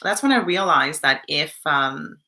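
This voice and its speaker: A young woman from Canada talks to her live stream viewers in a loose, reflective way. Her voice sits low but has a bright, forward edge, and she keeps stopping to read the chat. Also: lively intonation, measured pace. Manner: warm and reflective, reads chat comments aloud.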